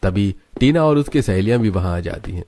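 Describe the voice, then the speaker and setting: A man's voice: on the low side, animated, brisk, warm tone. A man narrating a scripted story as its narrator.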